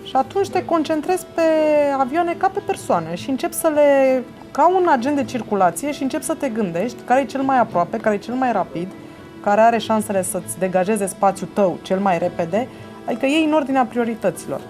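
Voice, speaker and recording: A woman's voice: a little high, animated. A Romanian woman air traffic controller speaking in a documentary interview. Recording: voice under loud music. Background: music.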